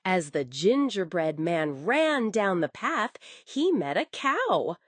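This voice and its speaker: A woman telling a scripted bedtime story, expressive and coddling, as if speaking to a young child. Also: medium pitch, slow, no room sound.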